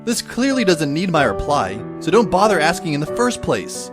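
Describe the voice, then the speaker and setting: Man playing wry schoolboy narrator, lively pitch. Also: moderate pace. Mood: exasperated. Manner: sardonic, wordy, dry inner monologue. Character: wry, exasperated teenage schoolboy narrating his own story. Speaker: narrator and lead character. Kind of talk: amateur audio drama narration and acting. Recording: faint music behind the voice. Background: faint music.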